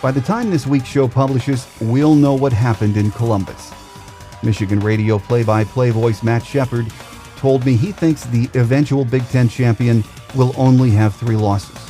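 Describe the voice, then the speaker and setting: A man hosting a sports podcast, talking in a measured, announcer-like way as he presents the show and puts questions to a guest. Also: mid-range voice, dark tone, faint music behind. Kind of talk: scripted show introduction, then interview questions.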